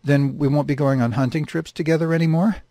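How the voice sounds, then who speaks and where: A man's voice: on the high side, fairly even intonation, fast, dark tone, no echo, voice pushed up, lightly acted. A man voicing a blunt, incredulous female grizzly bear questioning her mate in a comic story.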